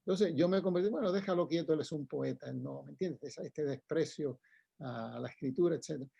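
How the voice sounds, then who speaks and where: A man's voice: fairly high pitch, creaky, mellow, husky, dry recording, lo-fi sound. An older man, a poet and retired professor, speaking casually and digressively at an online book launch. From Cuba. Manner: chatty, digressive, frequent laughs and tags like "¿no?".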